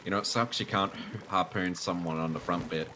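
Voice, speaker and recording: Low-voiced man gaming over watery noise. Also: a little fast. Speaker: streamer playing a multiplayer sailing game. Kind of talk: casual gaming chat. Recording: noisy, watery game sounds behind voice. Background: game ambience of boat and water.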